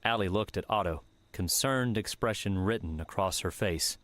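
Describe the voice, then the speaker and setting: Man narrating a story, low voice, slow and steady reading. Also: dry recording. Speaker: audiobook narrator. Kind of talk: scripted narration.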